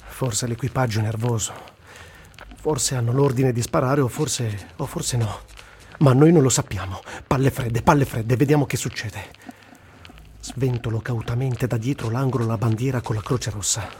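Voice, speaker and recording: A man's voice: mid-range voice, normal speed, a little hiss or hum, close to an everyday voice. A man playing a sardonic, weary but daring Italian war journalist in a theatrical radio reading of a first-person diary. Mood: tense, weary. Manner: theatrical, swinging from muttering to shouting. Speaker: voice actor reciting.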